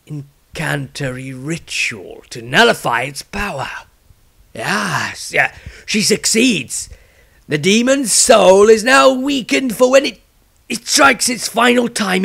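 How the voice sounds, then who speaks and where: A man voicing an elder male wizard magister who slowly declaims his visions of the future. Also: sits high, vocal fry, fairly bright tone, voice pushed up, exaggerated voice.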